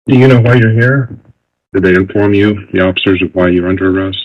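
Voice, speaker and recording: A man working as a federal agent, questioning a suspect in a calm, professional, procedural way, in a muffled lo-fi interview recording. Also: low-pitched, fast. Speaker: FBI agent. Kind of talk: formal interrogation.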